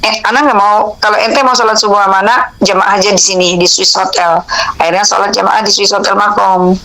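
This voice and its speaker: A woman's voice: medium pitch, a little fast, low-quality recording. A middle-aged woman, telling a personal story in an interview and acting out the dialogue informally. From Indonesia. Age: 45 or older. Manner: animated retelling, quoting dialogue in informal speech. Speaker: interviewee, religious counsellor.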